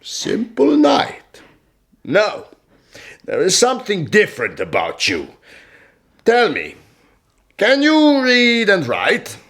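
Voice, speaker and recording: An imperious sultan in a radio play, slow deliberate talker, amused, very up and down. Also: male voice, high voice, huge pitch swings, vocal fry, a bit bright, heavily acted. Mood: wry, superior. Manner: measured, deliberate, questioning. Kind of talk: historical radio drama acting.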